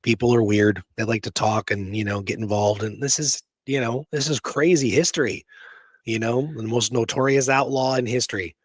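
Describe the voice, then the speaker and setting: A man co-hosting a casual history discussion, telling the story in an animated way with rhetorical questions. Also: medium pitch, normal speed, slightly rough, no room sound. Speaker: podcast co-host presenting history research.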